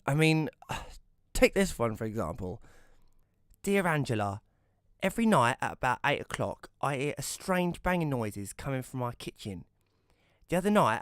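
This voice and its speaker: A man's voice: medium pitch, dry recording, clean studio sound. A man playing a self-doubting young magazine columnist who narrates an audio drama in a wry, hesitant manner. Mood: bemused. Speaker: narrator and lead character. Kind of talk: first-person audio drama narration and dialogue.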